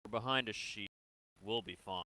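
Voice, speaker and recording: A man playing a calm military onlooker, chatting casually in an online sci-fi roleplay over voice chat. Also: on the low side, normal speed, a little hiss or hum.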